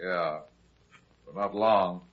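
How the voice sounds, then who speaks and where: An actor playing a calm, shrewd private detective in an old-time radio drama, with a dry, terse, unhurried way of talking. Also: male voice, low voice. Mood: grave, urgent. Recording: muffled lo-fi old radio recording.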